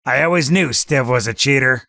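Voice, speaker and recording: Man acting a gravelly lowlife crook. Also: moderate pace, pushed and bright, no room sound, clean studio sound. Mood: amused, skeptical. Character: gravelly lowlife gangster sidekick. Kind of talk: gangster radio drama acting.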